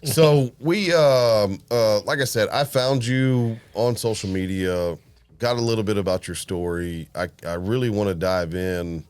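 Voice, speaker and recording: A man hosting a podcast interview, easygoing and quick with enthusiastic reactions. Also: fairly low pitch, a little mellow, smooth. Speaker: podcast host. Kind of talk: casual interview.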